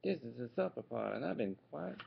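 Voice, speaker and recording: A man voicing a laid-back old male sea turtle in a storybook reading, with a gravelly voice and a surfer-dude drawl. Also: medium pitch, expressive, fast talker, dull audio, background noise. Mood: mellow.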